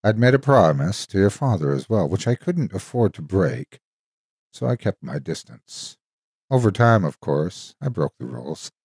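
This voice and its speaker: A man narrating a dramatic story as a devoted, wistful ghostly guardian, an old soldier reminiscing tenderly in a husky, folksy way. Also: deep voice, very animated, normal speed, darkish tone, no echo, clean studio sound, exaggerated voice. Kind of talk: dramatic first-person horror storytime narration.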